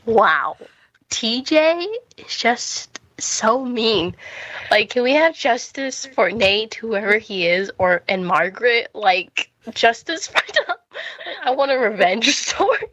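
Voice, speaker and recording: A woman's voice: fairly high pitch, very animated, slow pace, fairly bright tone, no echo, dull audio. A woman giving casual, rambling commentary with mock indignation and many filler likes. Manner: mock-indignant, heavy use of like.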